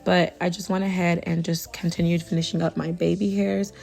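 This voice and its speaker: A young woman with a hoarse, raspy voice from tonsillitis, talking through a hair tutorial in a casual, chatty way with lots of "you know.". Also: lowish voice, brisk, soft background music. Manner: chatty, relaxed, full of fillers like "you know". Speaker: beauty vlogger.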